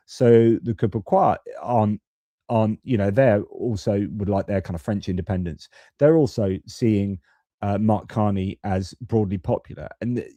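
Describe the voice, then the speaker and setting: A British man with a fairly low, relaxed and mellow voice gives casual political commentary. He is chatty and opinionated, like a live stream host thinking aloud. The sound is close and dry, with very little room. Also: dry recording.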